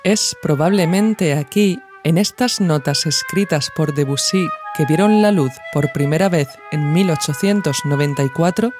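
A narrator reads a scripted audio essay in a measured, reflective way, phrasing each thought deliberately. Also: medium pitch. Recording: music behind the voice. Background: music.

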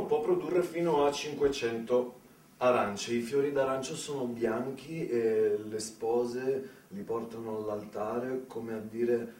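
A man performs a wry comic monologue at a slow pace, in a medium-pitched voice. His delivery is conversational and full of hesitation. The microphone sounds distant, as on a stage. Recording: roomy, distant stage pickup.

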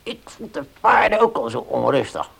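A man's voice: mid-range voice, sing-song, normal speed, creaky, fairly bright tone, slightly rough, exaggerated voice. An actor playing an anxious, watchful farmhand who defers to his boss in a radio drama. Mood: uneasy. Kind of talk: Dutch radio drama acting.

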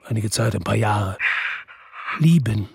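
An actor playing an embittered, defiant noir hero in a German radio drama, speaking in a terse, grim, weary way. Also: male voice, fairly low pitch, expressive, a little slow, creaky, darkish tone, husky, no room sound, clean studio sound, full character voice. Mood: weary, pleading. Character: embittered, defiant noir hero telling his own story. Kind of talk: German radio drama acting and first-person narration.

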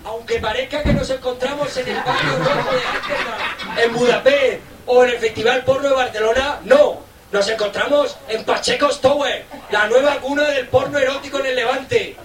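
Very high-pitched man reporting, echoey. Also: fairly even intonation, normal speed, loud music behind the voice. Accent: Spanish from Spain. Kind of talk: playful TV-report presenting. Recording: echoey, distant mic, crowd behind.

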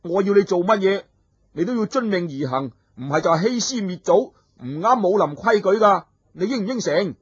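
A man's voice: sits high, lively intonation, moderate pace, breathy edge, dry recording, pitched above the performer's own voice. Man doing a wily young scholar. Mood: teasing. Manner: bargaining, teasing, wily. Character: clever cheeky young male scholar. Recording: dull lo-fi recording.

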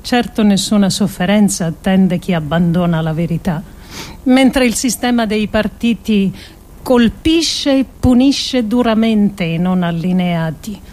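A woman performing a scripted dramatic monologue for radio in a deliberate, measured way with pointed pauses. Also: medium pitch, darkish tone. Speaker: actress reading an adapted essay.

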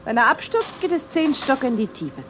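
Animated, high-voiced earnest young woman. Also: normal speed. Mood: matter-of-fact, teasing. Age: young adult. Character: earnest young woman, doctor's daughter, guiding visitor. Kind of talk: 1960s German radio drama acting. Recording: reverberant, noisy vintage radio recording.

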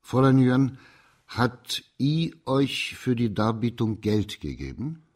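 An actor playing a stern, dignified district judge in a radio drama, speaking formally and with measured authority. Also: male voice, mid-range voice, big pitch swings, darkish tone.

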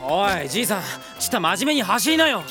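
A streetwise, sceptical young man, a thief in a radio drama. The talk is slangy and grumbling with lively swings of pitch. Loud music runs behind. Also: quick pace. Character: laid-back, sceptical young male thief, streetwise elder partner. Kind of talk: Japanese radio drama acting.

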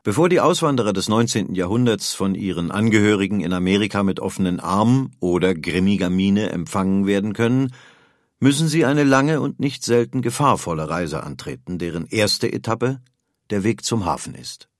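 A male narrator with a lowish voice reads scripted documentary text. He is measured and factual, recorded close in a dry studio. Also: expressive, no room sound.

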